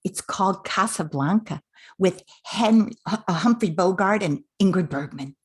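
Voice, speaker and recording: An older woman playing a patient, affectionate grown daughter in an amateur radio play, speaking in a measured way. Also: mid-range voice, expressive, husky. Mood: patient, reassuring.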